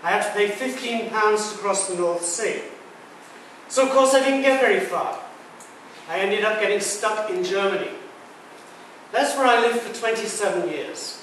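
A man in his sixties gives a talk with a fairly high voice, recorded from across a very echoey room. He is chatty and jokes with the audience, and faint room noise sits underneath. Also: moderate pace, a bit bright, distant mic. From Britain. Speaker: guest speaker. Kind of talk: public talk. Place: large echoey lecture room. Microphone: far camera mic.

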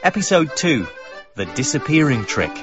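Radio announcer guy doing credits, lively delivery, violin music behind, bit muffled. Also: medium pitch, moderate pace. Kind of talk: scripted broadcast credits announcement. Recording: dull radio recording under music.

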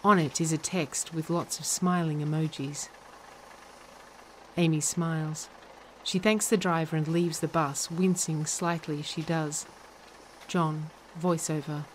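A woman reading scripted narration and stage directions in a measured, deliberate manner. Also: low voice, steady tone, a little hiss or hum, low-quality recording.

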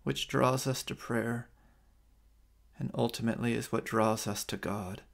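A middle-aged American man speaks as a priest, unhurried and measured. His voice has a gravelly edge at a middling pitch. He is recorded on a webcam in a living room at home. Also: fairly even intonation. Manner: slow, measured liturgical reading. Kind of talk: reflective homily and night prayer. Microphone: webcam on a video stream.

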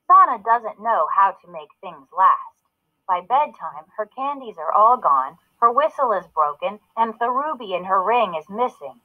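A woman's voice: mid-range voice, sing-song, measured pace. A woman narrating a children's story as a read-aloud, in a narrow phone-like recording.

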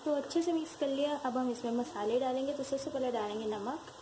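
A woman with a speech hosting a home cooking tutorial, calmly walking viewers through each step in her kitchen. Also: high-pitched, brisk. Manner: calm, instructive, addresses viewers directly. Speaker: cooking channel host. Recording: noisy home recording with background ambience.

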